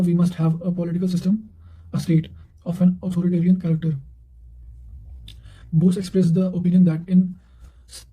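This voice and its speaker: A man with a high voice reads quotations from revision notes. His tone is very soft-edged and dark, and his delivery stays measured and fairly flat, with an occasional stumble over a word. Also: poor audio. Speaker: narrator of study revision notes. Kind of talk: reading quotations aloud from notes.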